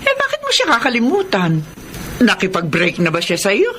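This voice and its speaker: An actress with a Filipino accent playing a caring, nosy middle-aged mother in a radio drama, animated and full of exclamations. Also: a little high, normal speed, slight background noise. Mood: concerned, motherly.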